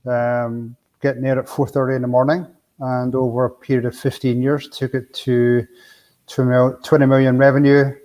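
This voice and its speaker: A middle-aged Scottish man speaking in a plainspoken, casual way as a podcast guest. His voice sits at an ordinary middle pitch, and he tells his stories loosely, with plenty of "you know" along the way. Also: little pitch movement, normal speed, darkish tone. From Scotland. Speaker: business coach guest.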